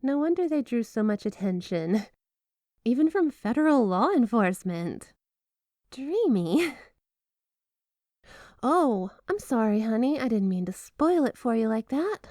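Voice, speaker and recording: A woman's voice: fairly high pitch, big pitch swings, unhurried, pauses often, dark tone, dry recording, clean studio sound. A woman playing a flirty, obsessive yandere wife in an ASMR roleplay, gushing and coy with a possessive edge under the sweetness. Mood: dreamy, admiring. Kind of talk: ASMR roleplay, addressing the listener as spouse. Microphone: close, dry microphone.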